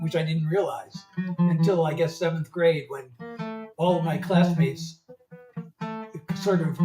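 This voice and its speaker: A chatty man in his seventies talks loosely to his livestream viewers, wandering from thought to thought and breaking into laughter. He sits back from the microphone in an echoey room, with guitar music clearly audible behind him. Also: fairly high pitch. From United States. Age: 70s. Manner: rambling, chatty, laughs often. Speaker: singer-songwriter. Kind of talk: casual livestream chat. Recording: roomy, distant mic, guitar music behind.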